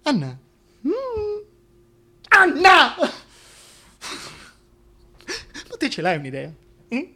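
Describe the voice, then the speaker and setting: A man's voice: extremely high pitch, wildly swooping pitch, slow pace, bright, forceful tone, heavily acted. An actor playing a crude, scheming, wheedling king in a theatrical comic monologue, with a histrionic delivery that swings from murmurs to outbursts. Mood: sly, wheedling. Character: crude, scheming, wheedling male tyrant king wooing a woman. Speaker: stage actor in a solo radio theatre piece.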